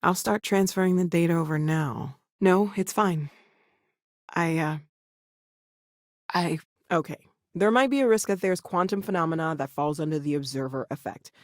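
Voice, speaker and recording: A woman's voice: on the low side, normal speed, no echo, natural voice. Actress doing a brainy lady scientist for a video game, earnest n a bit anxious, dry studio sound. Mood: focused, earnest. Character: earnest, brainy young woman scientist, eager but anxious.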